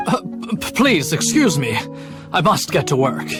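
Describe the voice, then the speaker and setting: A flustered, servile master brewer. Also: male voice, on the high side, very animated, a little slow. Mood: flustered, awestruck. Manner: flustered, stammering, deferential. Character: nervous, overawed, devoted master brewer, servile and dramatic. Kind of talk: video game character acting. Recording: game music behind the voice.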